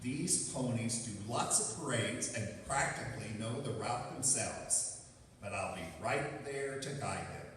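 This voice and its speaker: A man's voice: medium pitch, expressive, measured pace, fairly bright tone. A man playing a stubborn, cocksure small-town candidate in a live radio play. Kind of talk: live radio theatre acting. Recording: very echoey noisy hall, distant mic.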